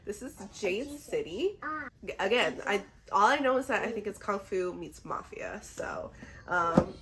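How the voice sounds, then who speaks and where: A woman's voice: medium pitch, expressive, measured pace, fairly bright tone, breathy edge, poor audio. A woman book vlogger talks casually to camera with a mid-range voice. She is a little under the weather but sounds chatty and enthusiastic, keeping things informal. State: a little sick.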